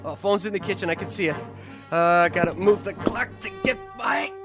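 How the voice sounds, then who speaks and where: A man playing an easygoing, excitable working-class mover in a radio drama, with colloquial informal speech. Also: high-pitched, moderate pace, loud music behind the voice, close to an everyday voice. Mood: alarmed.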